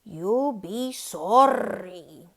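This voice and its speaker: A woman's voice: medium pitch, expressive, drawn-out words. A woman voicing a wicked, stern witchy substitute teacher from a storybook, giving menacing commands. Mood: stern, threatening. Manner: commanding, menacing, clipped orders.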